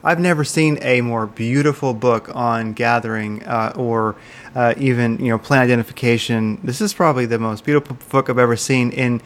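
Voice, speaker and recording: A man's voice: medium pitch, steady tone, moderate pace. A man hosting an interview podcast, speaking casually with frequent fillers and restarts. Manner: hesitant, many fillers and restarts. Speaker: podcast host.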